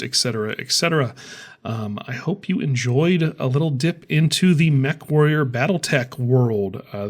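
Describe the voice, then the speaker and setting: A man talking casually as a channel host, rambling in an easygoing, self-deprecating way with frequent asides. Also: medium pitch. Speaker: channel host, author and game designer. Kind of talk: casual rambling vlog talk.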